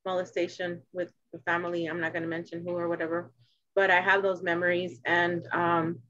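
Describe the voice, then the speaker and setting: A woman's voice: on the low side, no room sound. Woman telling her story, dull dry sound. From Arizona. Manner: halting, restarts, animated when quoting others. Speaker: guest. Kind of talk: casual personal conversation.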